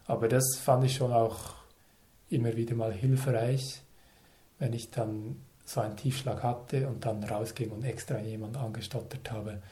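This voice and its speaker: A man's voice: on the low side, darkish tone. Thoughtful man in his thirties. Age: 30s. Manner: thoughtful, measured, speaks in short phrases with pauses. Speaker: interview guest. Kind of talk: reflective conversational interview.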